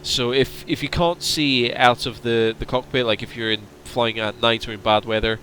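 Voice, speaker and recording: A man's voice: medium pitch, normal speed, slight background noise. Tired man chatting on a stream. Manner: relaxed, chatty, answering viewers' questions. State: voice straining, going hoarse. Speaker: flight sim streamer. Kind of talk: casual livestream chat.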